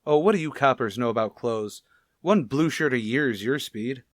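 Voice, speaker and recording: A man's voice: medium pitch, normal speed, dry recording, studio quality, lightly acted. A man playing a cocky, defensive salesman under arrest in a radio crime drama, wisecracking and sneering. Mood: scornful. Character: cocky, defensive thirty-one-year-old clothing salesman under arrest. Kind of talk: old-time radio crime drama acting.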